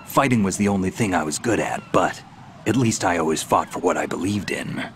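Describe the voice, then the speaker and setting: A gravelly, low-pitched veteran soldier for a video game. He is weary and stoic, speaking in short, measured statements. A faint noise sits behind the voice. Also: animated. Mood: stoic, resolute. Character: weary, gruff, hardened male soldier of legend. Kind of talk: video game cutscene dialogue.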